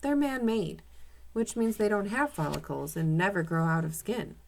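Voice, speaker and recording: A woman reading aloud as a calm, knowledgeable man who explains things in a children's storybook. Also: mid-range voice, moderate pace, creaky, close to an everyday voice. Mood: explaining. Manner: instructive, matter-of-fact.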